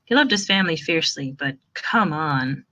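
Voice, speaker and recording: A woman with a fairly low, bright and forceful voice reads as a narrator. She delivers fan fiction prose expressively, as in an amateur audiobook. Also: expressive, normal speed.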